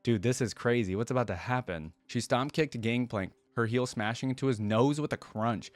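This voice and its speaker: A man's voice: medium pitch, normal speed, dry recording. A man hosting a reaction video, reading a fantasy story aloud and breaking off into casual, slangy commentary. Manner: informal, slangy asides.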